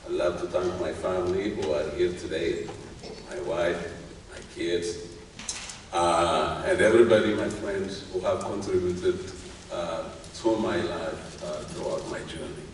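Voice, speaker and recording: An Ethiopian man at a graduation podium, heard from back in the hall, pausing between phrases as faint noise hangs around him. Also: deep voice, fairly even intonation. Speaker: graduation speaker, tech executive. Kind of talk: commencement speech. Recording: very echoey hall, distant mic, faint noise.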